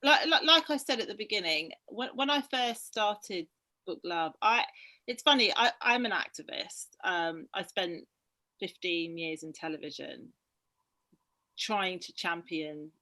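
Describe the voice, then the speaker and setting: A woman's voice: on the low side, unhurried, bright, forceful tone, poor audio. Earnest middle-aged woman from Barbados. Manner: earnest, passionate, thinking aloud. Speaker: guest, book activist. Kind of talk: conversational discussion.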